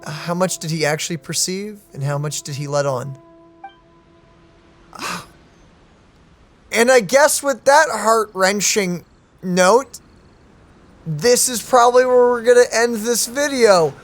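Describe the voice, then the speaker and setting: A video game streamer giving casual, informal and expressive commentary as co-host. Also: mid-range voice, takes it slowly, long pauses. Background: game music.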